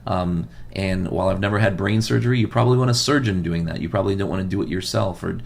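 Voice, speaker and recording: A lowish male voice with a gravelly edge, worn from losing his voice. He hosts a money podcast and explains patiently. He comes back to the main points to drive them home. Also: fast talker. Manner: earnest, repeats key points for emphasis. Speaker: financial advisor and podcast host. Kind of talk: podcast monologue giving financial advice.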